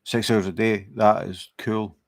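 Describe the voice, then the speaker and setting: Scottish man, middle-aged, unhurried rambling chat, dry close sound, gravelly edge. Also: fairly low pitch, dry recording. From Scotland. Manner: relaxed, rambling, halting with many fillers and restarts. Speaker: livestream host. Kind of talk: casual chat while crafting, reading viewer comments.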